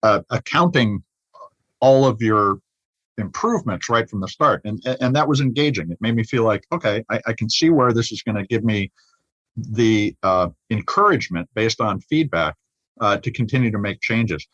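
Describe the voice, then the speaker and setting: A man hosting a conversational podcast interview, phrasing his questions in a halting, thoughtful way. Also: on the low side, lively intonation, fairly bright tone, no echo, muffled recording.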